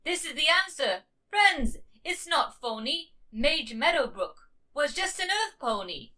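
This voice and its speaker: Woman voicing a bright, high-pitched earnest young zebra. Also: big pitch swings, heavily acted. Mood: proud. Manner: speaks in deliberate rhymes. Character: earnest, stubborn young female zebra obsessed with magic. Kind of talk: scripted cartoon fan voice acting.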